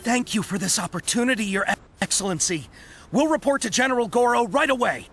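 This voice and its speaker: A man's voice: very high-pitched, animated, a little fast, a bit bright, soft background music, heavily acted. A man playing a deferential, contrite soldier in a video game, speaking formally and respectfully. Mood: earnest, grateful.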